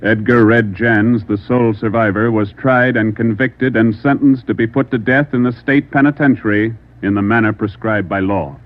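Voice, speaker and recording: A man's voice: fairly low pitch, steady tone, moderate pace. A man reading formal, official announcements in 1940s radio style. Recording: dull 1940s radio recording, loud music.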